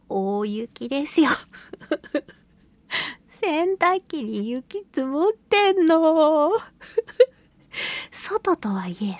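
A woman hosting a radio talk show on her own, chatting casually and telling stories in an animated, giggly, colloquial way. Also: fairly high pitch, slightly rough, no room sound. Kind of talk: casual solo radio chat.

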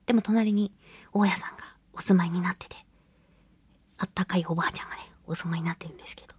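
Clear-voiced woman chatting on radio. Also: lowish voice, fairly even intonation, very fast, darkish tone. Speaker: radio show host and voice actress. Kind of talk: chatty solo radio monologue.

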